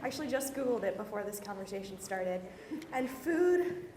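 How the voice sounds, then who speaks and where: A woman's voice: medium pitch, expressive, moderate pace, a little mellow. A young woman student in the audience, chatty and thinking aloud. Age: young adult. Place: lecture hall with audience. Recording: echoey hall.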